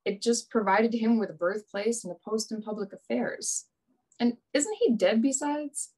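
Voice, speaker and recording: A woman's voice: a little high, lively intonation, moderate pace, a little mellow, low-quality recording. A woman playing a brash, wry young male adventurer in a radio play, with a dry, teasing manner. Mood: teasing, impatient.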